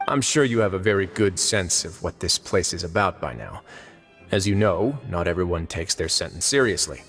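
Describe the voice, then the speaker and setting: An actor voicing a composed, wry duke in a video game, speaking in a dry, polite, unhurried manner. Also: male voice, deep voice, expressive, a bit bright, soft background music. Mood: composed, explanatory. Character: composed, wry duke running an underwater prison.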